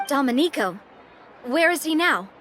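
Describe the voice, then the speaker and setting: Bright, confident young leader. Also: female voice, high voice, lively intonation, normal speed, a little hiss or hum. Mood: businesslike. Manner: assured, informal. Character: confident, brisk young female leader of a fraternal society. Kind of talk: video game voice acting.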